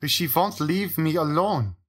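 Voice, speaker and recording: A frightened elderly man who pleads for help and stumbles over his words, as a woman narrator puts him on. His voice sits low and has a husky edge. He sounds fussy and cowering. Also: animated, unhurried. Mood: pleading.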